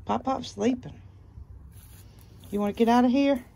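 A woman's voice: a little high, moderate pace, creaky, warm tone. Woman, 30s, casual homestead vlogger, filmed on a phone outdoors. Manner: drawling, chatty, coaxing a toddler. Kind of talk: casual chat while filming. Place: outdoors at a farm pen. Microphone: handheld phone.

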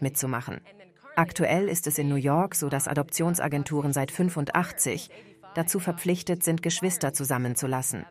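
A woman's voice: very deep voice, darkish tone. A woman speaking as a guest in a television studio interview, reflective and earnest. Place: TV studio set.

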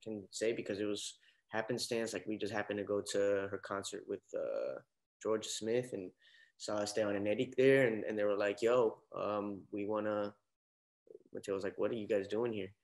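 Earnest, halting young man. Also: lowish voice, fairly even intonation. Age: young adult. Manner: halting, repeats words, earnest. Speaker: actor guest. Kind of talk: casual interview chat. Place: indoor room, video call.

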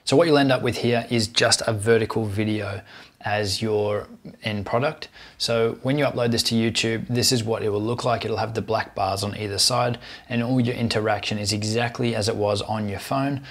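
A man in his thirties narrating a scripted how-to tutorial, giving clear step-by-step instructions as the host. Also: fairly low pitch, fairly even intonation, moderate pace.

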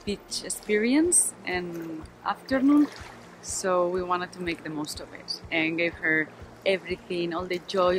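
Slow-talking young woman vlogger outdoors. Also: on the low side, low-quality recording. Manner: relaxed, unhurried, with fillers. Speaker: sailing vlogger. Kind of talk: casual vlog talk to camera.

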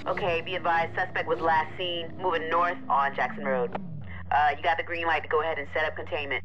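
A male American police dispatcher for a video game. He speaks in clipped procedural phrases with small hesitations, in a slightly high voice. The radio-filtered sound sits under clear engine noise. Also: level tone, a little fast, dull audio. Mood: businesslike.

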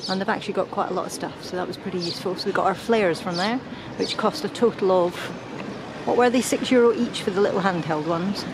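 A woman's voice: mid-range voice, fast talker. A woman giving casual, chatty travel commentary on a handheld camera outdoors. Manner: chatty, informal. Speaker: travel vlogger, sailor. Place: outdoors at harbours and old ruins. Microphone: handheld camera microphone. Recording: clear outdoor background noise, camera audio.

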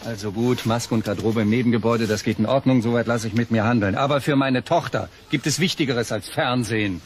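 A man's voice: mid-range voice, fast, a little hiss or hum, close to an everyday voice. An actor playing a stern, annoyed male doctor and father, firm and curt, in a children's audio drama.

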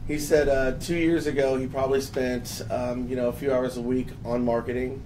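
A man in his thirties hosts a casual panel, his voice gravelly and worn hoarse. He talks in halting bursts full of restarts. The mic sits far off and the line is noisy. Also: mid-range voice, flat delivery. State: hoarse from a long three days. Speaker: host. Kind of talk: panel discussion. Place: office room with a panel.

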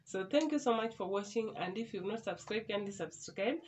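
A woman's voice: medium pitch, brisk. Young Kenyan woman vlogging. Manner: chatty, animated hand gestures. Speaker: YouTuber. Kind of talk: casual Q&A vlog to camera. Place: small indoor room, in front of a door.

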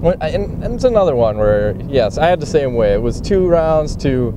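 A man co-hosts a casual sports chat in blunt, slangy language. His voice is a little high, recorded in a car with clear road rumble. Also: very animated, moderate pace. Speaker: podcast co-host. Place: inside a moving car.

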